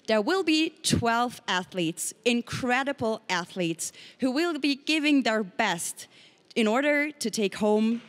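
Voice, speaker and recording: A woman reporting live as a field reporter, in an excited, gushing way. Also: fairly high pitch, slow. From Austria.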